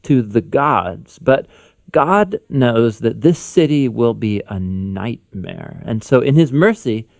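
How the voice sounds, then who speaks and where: Man, medium pitch, informal teacher, conversational explainer narration. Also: animated, slow, vocal fry, a little mellow. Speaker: Bible teacher, co-host.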